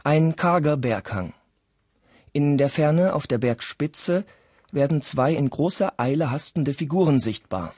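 A man narrating a scripted radio play with deliberate, dramatic storytelling. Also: on the high side, animated, a little mellow, husky, dry recording, studio quality.

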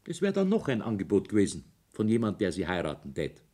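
A husky voice with swinging intonation: an older Bavarian businessman in a radio play. He is calculating and insistent, a father pressing his case. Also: on the low side, huge pitch swings, fast talker, mellow. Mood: insistent, defensive. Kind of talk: naturalistic radio drama acting.

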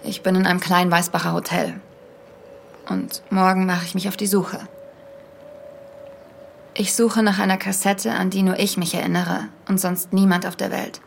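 A woman's voice: fairly low pitch, flat delivery, normal speed, vocal fry, long pauses, slight background noise. A woman playing a haunted, searching podcaster in an audio drama, speaking with earnest intensity. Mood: earnest, determined. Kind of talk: audio drama, first-person podcast narration.

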